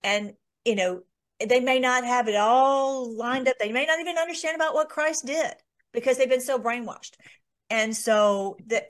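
A woman's voice: on the high side, normal speed. A woman hosting an informal online Bible study, talking in a rambling way with many restarts and fillers.

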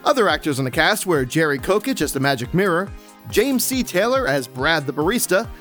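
A man's voice: fairly high pitch, big pitch swings, a little fast, fairly bright tone, faint music behind. A man working as an announcer, reading scripted closing credits.

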